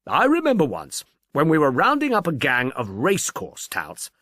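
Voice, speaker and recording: A tentative, easily cowed policeman , acted by a male audiobook reader offers thoughts cautiously yet eagerly. The pitch moves about freely, and the sound has very little room in it. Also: huge pitch swings, moderate pace, a bit bright, no room sound, studio quality, exaggerated voice. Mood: hurried, musing. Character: hesitant, submissive policeman, eager but easily abashed.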